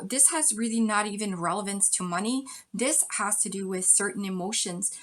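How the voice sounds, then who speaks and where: Woman, tarot reader, slightly hoarse, losing voice, talks to the viewer, lots of ums, mid pitch. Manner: warm direct address with many ums, coaching tone. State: losing her voice. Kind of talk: pick-a-card tarot reading to camera.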